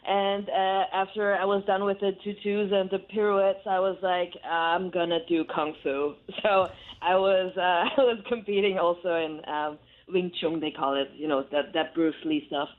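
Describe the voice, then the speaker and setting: A woman, a German native speaker and professional wrestler, chatting as a guest in an animated, playful way with laughs. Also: fairly low pitch, moderate pace. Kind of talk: casual phone interview. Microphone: phone. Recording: tinny phone line.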